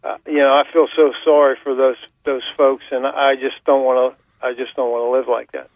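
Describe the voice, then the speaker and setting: A man calls in over a muffled phone line. His voice is gravelly and fairly even in pitch, and he speaks in a halting, hesitant way. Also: no room sound. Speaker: radio show caller. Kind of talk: unscripted phone call. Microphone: telephone. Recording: dull, band-limited phone line.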